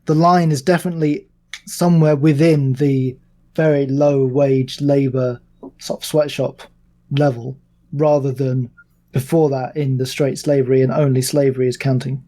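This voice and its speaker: A man's voice: mid-range voice, level tone, normal speed, warm tone. A man in a casual podcast discussion, speaking tentatively and often correcting himself. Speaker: podcast panelist.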